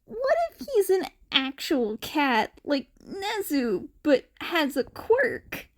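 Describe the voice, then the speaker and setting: A woman voicing an eager, imaginative adult man, speaking in an excitable, speculative manner. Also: high voice, slow, voice pushed up, exaggerated voice.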